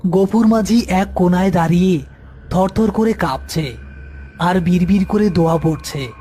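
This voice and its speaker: A man's voice: sits high, normal speed, mellow, breathy edge. A man narrating a scripted horror folk tale as storyteller.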